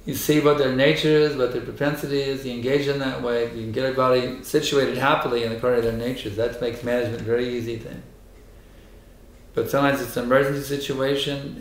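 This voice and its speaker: Hoarse man, spiritual teacher, emphatic lecture, mid-pitched voice, roomy echoing hall. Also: fairly even intonation, fast. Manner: emphatic, insistent, repeats his points. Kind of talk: temple lecture with questions and answers. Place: temple hall. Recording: echoey hall.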